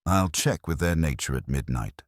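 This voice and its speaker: Man as very deep-voiced wry young private detective. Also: animated, moderate pace, no room sound. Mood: wry, probing. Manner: wisecracking, dry.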